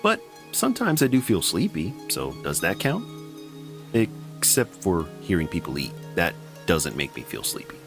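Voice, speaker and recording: A man's voice: deep voice, huge pitch swings, normal speed, over music. A middle-aged man hosting a podcast, talking in a wry, chatty, self-mocking way. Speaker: podcast host. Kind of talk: casual scripted podcast narration.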